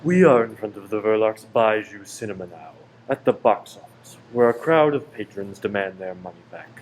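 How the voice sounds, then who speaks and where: A man's voice: lowish voice, expressive, normal speed, a little hiss or hum, close to an everyday voice, poor audio. A man narrating scenes in a radio play with scripted, reporter-like delivery. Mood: scene-setting.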